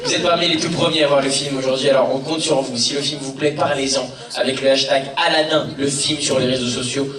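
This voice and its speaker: Joking man working a loud cinema crowd. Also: mid-range voice, steady tone, quick pace, hardly any pauses. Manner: teasing, playful, addresses the crowd directly. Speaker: comedian presenting a film to a cinema audience. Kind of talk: joking live address to a crowd. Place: large cinema auditorium. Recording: echoey hall, distant, loud crowd.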